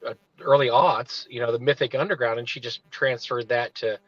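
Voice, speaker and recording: A man's voice: mid-range voice, fairly even intonation, moderate pace, fairly bright tone, husky, no room sound. Talkative co-host in his car, restarts every thought, muffled stream audio. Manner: rambling, digressive, with many restarts. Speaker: livestream co-host. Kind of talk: casual chat. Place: inside a parked car.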